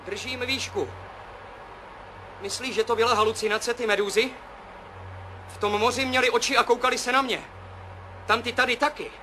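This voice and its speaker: An actor playing an awed, rambling test pilot in a 1970s radio play, musing in halting short phrases. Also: male voice, very high voice, fairly even intonation, sharp tone. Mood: uneasy awe. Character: male test pilot, awed, rambling, seeing strange visions. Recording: noisy 1970s radio drama, engine drone.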